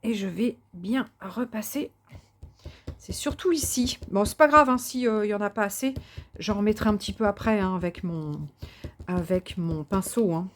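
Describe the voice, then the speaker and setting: A woman of mid pitch chats casually as she works on a craft project. She thinks aloud with plenty of fillers and restarts and gushes about colours. Now and then she drops into soft muttering to herself. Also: normal speed. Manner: talks to herself softly while working. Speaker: craft vlogger.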